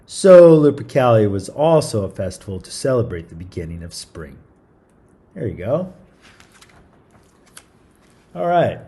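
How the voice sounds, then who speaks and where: A man's voice: mid-range voice, sing-song, a little slow, pauses often, warm tone. A man hosting a story time, reading a picture book aloud in an informal, chatty way with small stumbles and asides. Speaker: read-aloud storyteller, show host.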